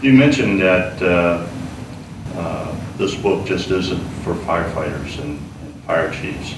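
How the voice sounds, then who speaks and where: A man's voice: sits low, slow, recorded from a distance. A man interviewing a guest, asking questions in a hesitant, affirming way. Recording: echoey room, voice from off-mic, clear background noise.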